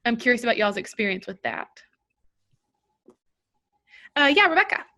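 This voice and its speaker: A woman's voice: a little high, big pitch swings, normal speed, sharp tone. A woman drama therapist, leading a group discussion and inviting each person to share. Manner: encouraging, asks follow-up questions.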